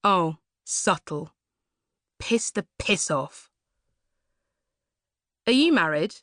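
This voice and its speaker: A woman's voice: fairly low pitch, expressive, drawn-out words, fairly bright tone, dry recording, exaggerated voice. Woman doing a dry, guarded thirtysomething woman. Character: wry thirty-six-year-old woman, dry and guarded.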